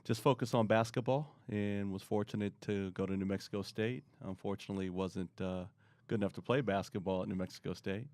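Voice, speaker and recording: A middle-aged man answering interview questions in a relaxed, rambling way, often pausing with fillers. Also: fairly low pitch, dry recording. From born in Korea, raised in the US. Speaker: guest, marketing expert.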